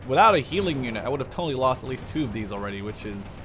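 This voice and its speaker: A man's voice: mid-range voice, animated, rapid-fire, over music. A man in his twenties giving casual, rambling, playful let's play commentary on a video game. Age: 20s. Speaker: gaming video host. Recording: game battle noise behind voice. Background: game gunfire, artillery and vehicles.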